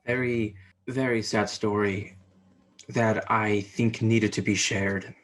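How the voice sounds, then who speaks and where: A man's voice: fairly low pitch, fairly even intonation, takes it slowly, fairly bright tone. A man hosting a book talk, introducing readings and putting questions to the guests. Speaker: host, moderator.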